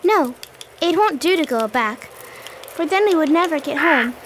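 An earnest, plucky little girl voiced by a woman for a radio play. Her voice is high and very animated, and she states things plainly. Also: normal speed, noisy background. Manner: earnest, matter-of-fact.

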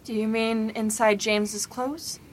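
Radio-play ingénue played by a woman, high voice, earnest, loyal and fondly scolding. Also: level tone, measured pace. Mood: concerned, fond. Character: earnest, protective young woman, fond and scolding. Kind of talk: radio mystery acting. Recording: faint rumbling background noise.

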